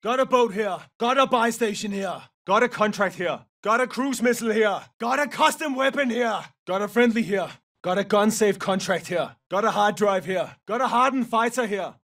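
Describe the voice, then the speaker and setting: An actor playing a gruff, battle-hungry special forces mercenary in a military game, giving clipped, forceful combat callouts in a gravelly voice. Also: male voice, high voice, normal speed, far from the mic. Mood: terse, alert. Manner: clipped, forceful, barked short phrases.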